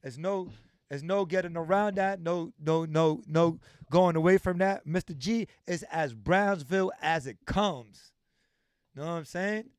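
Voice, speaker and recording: A man with a notably high voice hosts a casual livestream interview. He is emphatic and drives points home by repeating phrases. The sound is dry, with no room echo. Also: unhurried, smooth. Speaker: livestream host.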